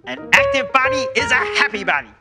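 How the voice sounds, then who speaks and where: A man playing a bossy drill-sergeant general in a mock radio ad, barking brisk fitness commands at children. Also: extremely high pitch, expressive, creaky, loud music behind the voice, full character voice. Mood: peppy, bossy.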